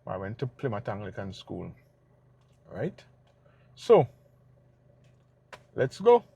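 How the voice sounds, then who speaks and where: A man from Tobago with a Tobagonian accent, talking casually to his viewers in a relaxed, rambling way. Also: fairly low pitch, takes it slowly, gravelly, breathy edge. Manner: relaxed and rambling, checks in with "right?". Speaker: vlogger. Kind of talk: casual vlog talk to viewers.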